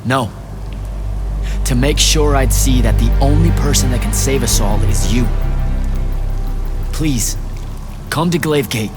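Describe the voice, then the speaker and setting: A man's voice: mid-range voice, a little slow, pauses often, over music, lightly acted. A man playing an earnest, hot-headed young hero in a video game, blunt, urgent, pleading and defiant. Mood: determined, urgent. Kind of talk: video game cinematic acting.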